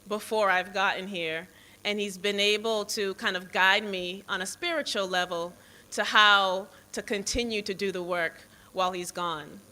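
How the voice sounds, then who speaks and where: Bright, clear American woman speaking slowly. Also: medium pitch. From Boston, United States, born in Jamaica. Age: 40s. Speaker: foundation president, guest speaker. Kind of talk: public speech, partly off the cuff.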